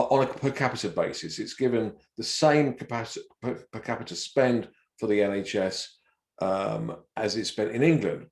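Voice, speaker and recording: A man's voice: fairly low pitch, a little mellow. An older man giving an informal academic talk in a hesitant way, often stammering and repeating words. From not from Wales. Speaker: professor giving a lecture. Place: indoor room on a video call. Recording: roomy, echoing webinar audio.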